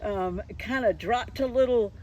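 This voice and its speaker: A woman hosting an unscripted interview, chatting in a halting, conversational way and often restarting her phrases. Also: a little high, a little mellow. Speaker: interviewer and host. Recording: clear traffic and street noise behind.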